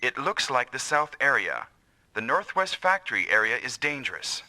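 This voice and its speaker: An actor voicing a dutiful, earnest military operator in an anime-style video game, with crisp briefing delivery. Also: male voice, medium pitch, sing-song, normal speed, sharp tone, a little hiss or hum, heavily acted. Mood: businesslike. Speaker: operations and communications officer.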